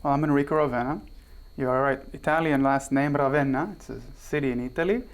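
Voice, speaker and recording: A man from Israel, a guest on a talk show, explaining his background in a measured way with frequent "right?" asides. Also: medium pitch. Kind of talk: casual interview chat. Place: television studio.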